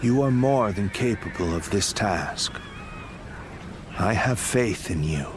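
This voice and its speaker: An actor playing an earnest, honorable army commander in a video game, speaking in a measured, sincere way. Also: male voice, lowish voice, lively intonation, noisy background. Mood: earnest, trusting. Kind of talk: English video game dub acting. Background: music.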